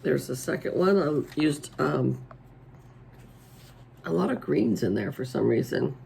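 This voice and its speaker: Raspy-voiced woman chatting at home. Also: deep voice, lively intonation, measured pace, dark tone, a little hiss or hum, poor audio. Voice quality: raspy today. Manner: chatty and homey, many ums. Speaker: coloring channel host. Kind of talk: casual show-and-tell chat. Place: at home, table indoors. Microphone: overhead camera over the table.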